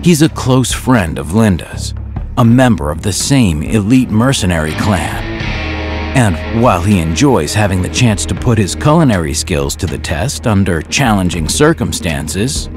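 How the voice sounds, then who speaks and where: A man narrating the recap of a scripted audio drama in a storytelling style. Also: fairly low pitch. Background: guitar music.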